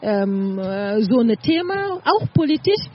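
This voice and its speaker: Middle-aged Senegalese woman, higher voice, muffled recording. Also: very animated, a little slow. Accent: Senegalese French. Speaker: interview guest, community project coordinator. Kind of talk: conversational interview answers. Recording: muffled lo-fi sound, faint noise.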